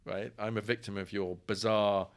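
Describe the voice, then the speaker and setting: A man's voice: lowish voice, flat delivery, normal speed. A middle-aged man with an English accent talking discursively and wryly as a guest in a conversational interview, often repeating words as he thinks. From England. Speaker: professor, podcast guest.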